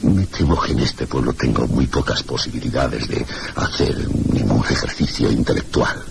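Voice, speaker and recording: Very deep, creaky, inquisitive Castilian schoolmaster. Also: male voice, moderate pace, full character voice. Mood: confiding, hesitant. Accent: Castilian Spanish. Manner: courteous, hesitant, insinuating, formal. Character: inquisitive, apologetic, solitary village schoolteacher, cultured man. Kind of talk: Spanish radio mystery drama acting. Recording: noisy, gritty old radio recording.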